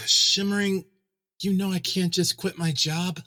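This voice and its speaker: A man's voice: high-pitched, fairly even intonation, slow, sharp tone, exaggerated voice. A man voicing a practical, placating young pony husband, earnest and a little defensive, in a fan fiction audio drama. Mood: pleading reasoning.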